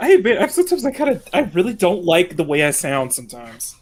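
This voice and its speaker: A man co-hosting a casual podcast, chatty and quick to agree and laugh. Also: high voice, huge pitch swings, a little hiss or hum. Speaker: podcast co-host.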